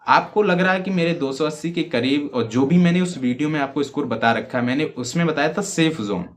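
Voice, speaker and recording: A man's voice: fairly high pitch, a little fast. A man giving informal, emphatic advice to students as an online exam educator, repeating points and asking rhetorical questions. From Uttar Pradesh, India. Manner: emphatic, repetitive, rhetorical questions, frequent check-in tags. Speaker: exam-prep YouTube educator.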